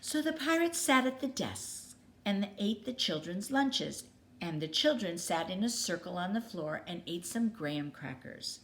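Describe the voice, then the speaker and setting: Unhurried woman reading a children's story. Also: mid-range voice, lively intonation. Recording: clearly noisy background.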